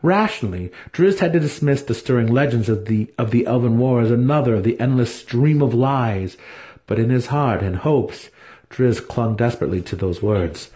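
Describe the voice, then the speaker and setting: A man's voice: medium pitch, animated, moderate pace, warm tone. A man narrating a fantasy audiobook as a dramatic scripted reading.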